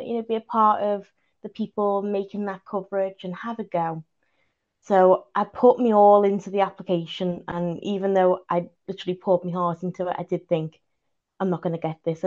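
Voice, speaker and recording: A young woman from Liverpool with a Liverpool accent, chatting casually as a podcast guest, self-deprecating and quick to laugh. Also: mid-range voice, flat delivery, dull audio. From Liverpool, England. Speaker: podcast guest, video journalist. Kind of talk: casual chat.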